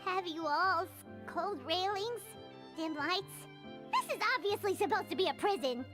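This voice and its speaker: A woman voicing a small, nervous, childlike fairy companion in an anime video game, squeaky and chirpy. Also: expressive, measured pace, music in the background. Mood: wary, questioning. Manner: squeaky, speaks of herself in third person.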